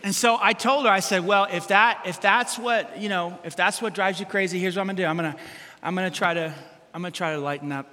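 A middle-aged pastor with a loud voice preaching, animated and self-deprecating, with sudden loud bursts as he tells stories. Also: high voice, quick pace. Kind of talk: sermon. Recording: long hall reverb.

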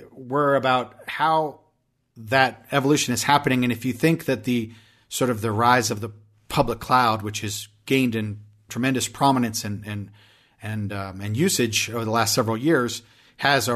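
A man's voice: mid-range voice, fairly bright tone. Chatty male podcast host. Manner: chatty, hesitant, many restarts. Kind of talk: podcast interview.

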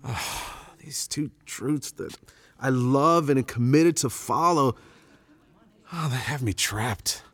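A man's voice: mid-range voice, slow pace, fairly bright tone, no echo. An actor playing a troubled, earnest betrothed man torn by conscience, hesitant and anguished, in a biblical audio drama.

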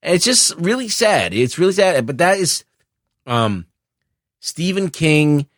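Halting man in a dry-sounding room. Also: fairly high pitch, very animated, fairly bright tone, dry recording. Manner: halting, repeats words while reasoning. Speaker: podcast panelist. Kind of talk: casual film discussion.

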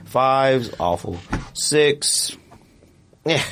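A man's voice: medium pitch, lively intonation, extremely slow, a bit bright, a little hiss or hum. A man co-hosting a sneaker podcast, chatting casually and giving opinions in informal slang.

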